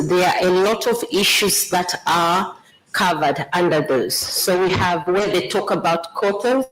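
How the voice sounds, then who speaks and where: Slow-paced Zambian presenter, sex unclear. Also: medium pitch, fairly bright tone, rough recording. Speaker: government trade official and presenter. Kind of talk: formal slide presentation.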